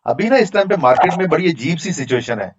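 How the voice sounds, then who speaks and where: A man's voice: on the high side, animated, fast talker, husky, highs cut off. A man teaching an online class, answering students' questions in a frank, explanatory, conversational way over a video call. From Pakistan. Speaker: instructor.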